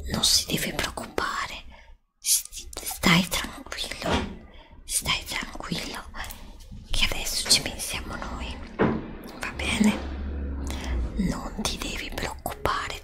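A woman whispering slowly and soothingly close to the mic in an ASMR roleplay, repeating gentle reassurances as a dentist. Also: fairly low pitch. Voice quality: breathy whisper. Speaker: ASMR creator role-playing a dentist. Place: indoors at a desk before a green screen. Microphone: Blue Yeti desktop microphone, close up.

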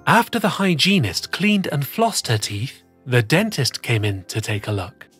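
A children's story host reading a picture book in an expressive, deliberate way for young listeners. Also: medium pitch, slightly rough, soft background music. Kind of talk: animated scripted picture-book narration.

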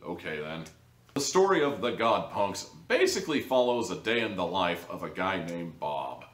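A man's voice: deep voice, sing-song, moderate pace, a bit bright. A man in his thirties giving a casual, blunt and sarcastic review to camera, sounding exasperated. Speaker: audio drama reviewer, host. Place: living room, indoors. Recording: echoey room, distant microphone.

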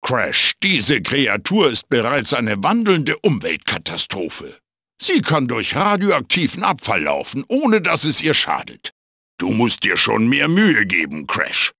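A man voicing a wise, fussy magical tiki mask mentor in a video game, with a raspy, theatrical delivery. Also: medium pitch, huge pitch swings, unhurried, bright, forceful tone, no echo, studio quality, full character voice. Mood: instructive, emphatic. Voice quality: raspy, gravelly. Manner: theatrical, emphatic, swooping delivery. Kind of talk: German video game voice acting, tutorial hints and quips.

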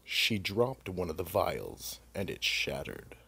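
Male narrator, low voice, creaky, scripted horror radio storytelling, announcer. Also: sing-song, slow pace, pushed and bright.

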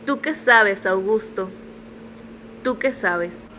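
A woman's voice: mid-range voice, slow, bright, forceful tone, slight background noise, low-quality recording. A woman playing an imperious, desperate mother in a melodramatic radio soap opera. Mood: desperate, pleading. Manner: haughty, melodramatic. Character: imperious, desperate mother confronting her former lover.

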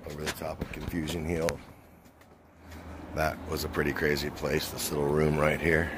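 A man's voice: a bass voice, monotone, moderate pace, noisy background. A man vlogging at a roadside attraction, skeptical and joking as he banters with his companion. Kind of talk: casual vlog chat. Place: outdoors at a tourist attraction.

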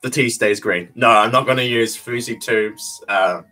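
A man's voice: medium pitch, moderate pace, bright, forceful tone, soft background music. A young man from New Zealand with a New Zealand accent, chatting casually on a livestream from a small bare room into a webcam, laid-back, chuckling and repeating his phrases. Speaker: vlogger hosting a livestream. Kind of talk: casual livestream chat answering viewers. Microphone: webcam at desk distance.

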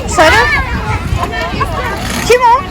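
A woman's voice: very high-pitched, expressive, very slow. A woman narrating a casual family vlog for children, speaking directly with frequent greetings and prompts. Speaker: family vlogger and mother filming her child. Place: crowded outdoor children's theme park. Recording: noisy crowded outdoor recording, loud background. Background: loud crowd and outdoor ambience.

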